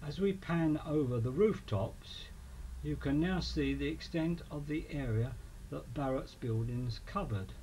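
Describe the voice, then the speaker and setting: A man with an English accent reading a scripted local history documentary in a measured manner. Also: on the high side, warm tone. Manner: measured, listing names and dates. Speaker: narrator of a local history film. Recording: clearly noisy amateur voice-over recording.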